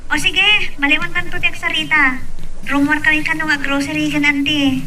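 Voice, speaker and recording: A woman acting high-voiced young Ilocano working woman. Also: steady tone, moderate pace, pushed and bright. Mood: chatty, upbeat. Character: young Filipina overseas office clerk, eager and hopeful. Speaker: actor in an audio drama. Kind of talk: Ilocano radio-style love drama acting. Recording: distant mic with faint noise.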